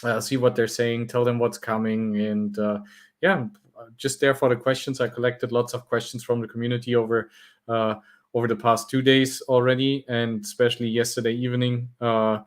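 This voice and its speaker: A man's voice: mid-range voice, little pitch movement, normal speed. A man co-hosting a live stream in casual chat, talking hesitantly with many fillers and restarts. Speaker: stream co-host.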